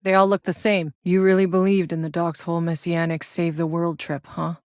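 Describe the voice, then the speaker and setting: A woman's voice: on the low side, level tone, a little fast, no echo, studio quality, close to an everyday voice. An actress playing a tough, sardonic, battle-hardened soldier in a sci-fi video game, blunt and wry in her delivery. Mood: wry, weary. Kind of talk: scripted video game character voice lines.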